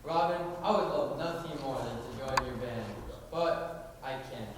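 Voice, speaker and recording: Teen boy playing timid gentleman, distant and echoey. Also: mid-range voice, a little slow, close to an everyday voice. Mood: sheepish. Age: teens. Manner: earnest, polite, mild. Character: timid, admiring, well-mannered young gentleman. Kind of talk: high school stage play acting. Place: large indoor school performance space. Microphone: distant camera or room microphone. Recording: echoey, noisy hall, recorded from far off.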